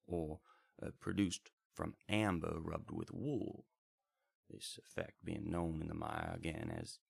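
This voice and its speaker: A man playing a wandering bard in a radio drama, telling tales in an unhurried, literary, old-fashioned way. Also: sits low, creaky, breathy edge, no room sound, full character voice. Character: wandering bard recounting arcane scholarly adventures. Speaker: host and storyteller. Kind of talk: in-character scripted storytelling with guitar. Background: acoustic guitar music.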